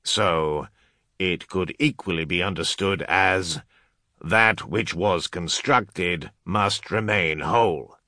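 Male reader as solemn male translator, sci-fi audiobook. Halting, precise, very slow, bright. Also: sits low, no echo, clean studio sound, exaggerated voice. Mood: grave, careful. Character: grave, careful male scholar translating cryptic chants.

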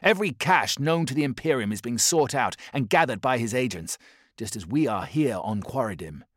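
A man's voice: mid-range voice, lively intonation, moderate pace, a bit bright, no room sound, studio quality, pitched above the performer's own voice. A man voicing a devout male warrior chaplain in an audiobook, who speaks in a firm, solemn voice.

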